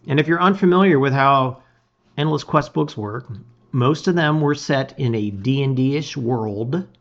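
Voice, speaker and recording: A middle-aged man with a mid-range voice hosts a read-aloud. He speaks expressively and leans on key words, then lets phrases fall away softly. The recording is a little dull and lo-fi. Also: medium pitch. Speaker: host reading a gamebook aloud. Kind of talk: casual intro and dramatic read-aloud of storybook narration. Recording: slightly dull, lo-fi sound.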